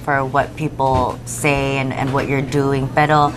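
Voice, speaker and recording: A nineteen-year-old woman raised in California, answering interview questions in a soft, hesitant way. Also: extremely low pitch, little pitch movement, a little slow, noisy background. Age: teens. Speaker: pageant titleholder guest.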